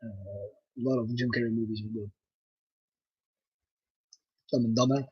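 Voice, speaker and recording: Husky French Canadian man chatting on stream. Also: mid-range voice, a little slow, dry recording, muffled recording. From Nova Scotia, Canada. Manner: rambling, relaxed, with frequent fillers. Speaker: livestream host. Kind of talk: casual livestream chat.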